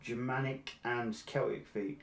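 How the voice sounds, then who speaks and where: A man's voice: medium pitch, steady tone, a little slow, creaky. A young man co-hosting a casual podcast, rambling, swearing casually and laughing at himself. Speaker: podcast co-host. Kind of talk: casual banter among friends. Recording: echoey room with crowd noise.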